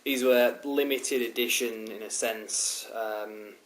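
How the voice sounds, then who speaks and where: A man's voice: on the low side, fairly even intonation, takes it slowly. A man with a head cold, rambling casually through a DVD collection review with many ums and restarts. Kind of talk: casual show-and-tell review. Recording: roomy echo, loud background hiss.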